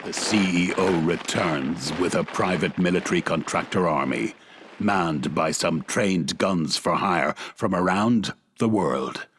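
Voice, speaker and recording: A man's voice: deep voice, unhurried, background noise. A man reading scripted, dramatic narration for a radio play.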